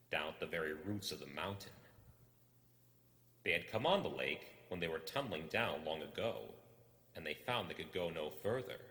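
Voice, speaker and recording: Deep-voiced, theatrical male storyteller in echo. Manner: theatrical, deliberate pauses, hushed at times. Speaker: storybook reader. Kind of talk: dramatic, suspenseful scripted narration. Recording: echoey, long reverb.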